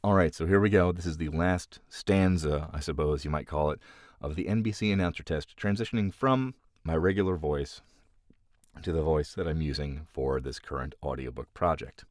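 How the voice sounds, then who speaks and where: Man, deep low pitch, casual explanatory delivery, voice actor, dry close sound. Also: moderate pace, a little mellow, no room sound. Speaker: voice actor and audiobook narrator. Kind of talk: casual explanatory talk to camera.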